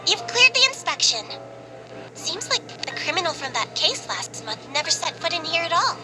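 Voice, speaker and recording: A small, earnest female creature from a video game. She talks politely in a high, animated voice, with music playing behind her. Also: moderate pace.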